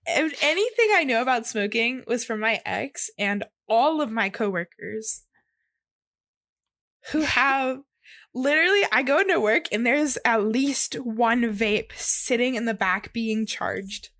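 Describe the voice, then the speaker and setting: A woman's voice: fairly high pitch, animated, measured pace, fairly bright tone, no room sound. A woman co-hosting a podcast in casual chat, with an informal, playful and giggly manner. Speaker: podcast co-host.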